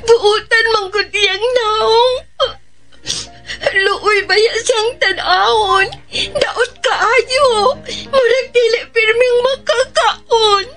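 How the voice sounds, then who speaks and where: A woman's voice: very high voice, fairly even intonation, extremely slow, a bit bright, faint music behind, exaggerated voice. An actress with a Filipino accent playing a tearful, pleading little girl in a radio drama, plaintive and childlike. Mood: sad, pleading.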